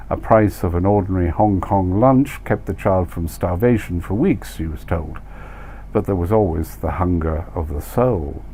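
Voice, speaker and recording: A man with a fairly low voice narrates literary fiction in a measured, wry way. He leans on key words for dramatic effect, and there is some faint noise in the recording. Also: warm tone. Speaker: author reading own story.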